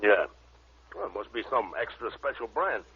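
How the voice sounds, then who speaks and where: A man's voice: medium pitch, big pitch swings, moderate pace, slightly rough, heavily acted. An actor playing a big, dim, eager tough-guy sidekick with a blunt, slangy manner in an old radio drama. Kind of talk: 1950s radio comedy-drama acting. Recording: muffled, noisy old radio recording.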